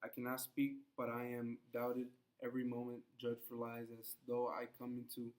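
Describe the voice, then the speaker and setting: A man's voice: mid-range voice, completely flat delivery, normal speed, a little mellow, close to an everyday voice. A man reading the part of a proud, defensive Puritan husband in a play, stern and exasperated. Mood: bitter, exasperated. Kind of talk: classroom play read-aloud.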